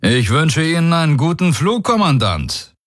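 A bold alien warrior lord played by a male narrator, pitched well above his plain voice in a dry studio sound. He is commanding and self-assured, with cool mockery, in science-fiction audiobook style. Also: very animated, moderate pace, no echo. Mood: smugly polite. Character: proud, bold alien warrior warlord, coolly mocking. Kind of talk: audiobook character dialogue.

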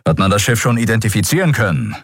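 A man voicing a calm, stern male admiral who asks terse questions in an audiobook. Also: on the low side, fast, slightly rough, no echo, studio quality, pitched above the performer's own voice. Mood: questioning.

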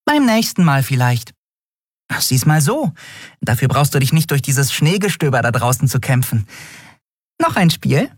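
A man's voice: mid-range voice, very animated, normal speed, long pauses, slightly rough, no room sound, studio quality, voice pushed up. A man voicing a tiny winged female creature, playful, teasing and boastful, in an audiobook. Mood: amused, triumphant. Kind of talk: audiobook character voice.

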